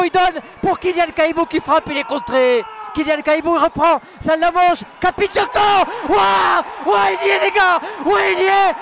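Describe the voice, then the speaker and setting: A man commentating live on football for radio, excitable and partisan, repeating his phrases. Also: very high voice. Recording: loud stadium crowd and noise. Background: stadium crowd.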